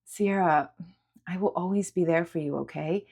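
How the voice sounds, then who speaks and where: A woman voicing a caring, patient woman who reassures with gentle insistence in a dramatic audiobook. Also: fairly low pitch, moderate pace, creaky, husky. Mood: soothing. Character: caring, patient woman comforting an upset girl. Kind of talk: dramatic audiobook character acting.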